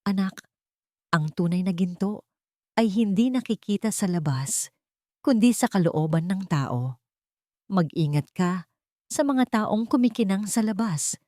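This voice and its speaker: A woman's voice: medium pitch, animated, measured pace, pauses often, a little mellow, no room sound, clean studio sound, close to an everyday voice. A woman voicing a wise older father who cautions solemnly in an audiobook drama. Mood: solemn warning. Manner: solemn, admonishing.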